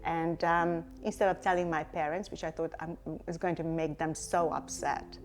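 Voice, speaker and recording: Reflective Hungarian-born woman, lowish voice. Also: steady tone, moderate pace, soft background music. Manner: reflective, many fillers and restarts. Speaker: company CEO, guest. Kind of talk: interview answers.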